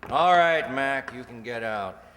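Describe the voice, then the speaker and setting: A man's voice: medium pitch, expressive, a little slow, fairly bright tone. A man playing a suspicious, wary drug dealer's partner in an old-time radio crime drama. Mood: businesslike. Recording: noisy, echoey hall.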